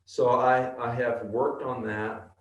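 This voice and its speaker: A male actor with a deep bass voice, answering interview questions slowly and thoughtfully, with many pauses and restarts. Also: fairly even intonation, roomy sound, lo-fi sound. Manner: slow, thoughtful, halting.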